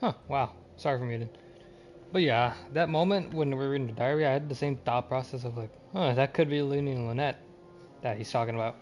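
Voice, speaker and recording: Male streamer, medium pitch, offhand muttered reactions to a game, music faintly behind. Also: brisk, a little mellow. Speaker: game livestreamer. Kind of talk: casual reaction commentary on a game.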